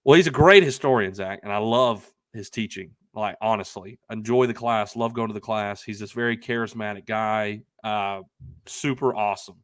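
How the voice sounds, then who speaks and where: A man's voice: lowish voice, normal speed, a bit bright, dry recording. Young guy podcast host telling a rambly story, gets worked up and emphatic, close dry sound. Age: young adult. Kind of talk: casual anecdotal storytelling.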